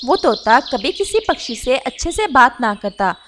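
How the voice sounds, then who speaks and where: Female storyteller: high pitch, lively intonation, kids' fable narration, slight noise behind. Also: normal speed. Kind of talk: scripted children's story narration.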